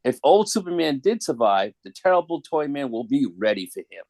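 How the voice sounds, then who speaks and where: A smug comic book villain who makes deadly toys, acted out by a man. He talks in pompous, florid phrases with lively swings in pitch. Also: a little fast, dry recording. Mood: gloating. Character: smug wordy weaselly villainous male toymaker.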